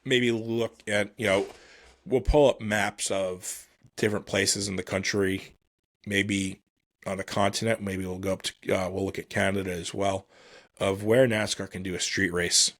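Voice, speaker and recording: A man hosting a solo podcast, casually commenting on motorsport in a halting, think-aloud, self-deprecating way. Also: low voice, flat delivery, a bit bright, no echo, studio quality. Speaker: podcast host.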